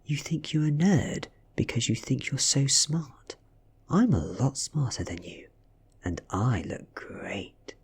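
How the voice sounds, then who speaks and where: A man voicing an ancient female vampire posing as a blunt, teasing high school girl in a softly read story. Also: big pitch swings, slow pace, exaggerated voice. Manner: forthright, teasing, boastful. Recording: close, soft-spoken ASMR recording with husky breath.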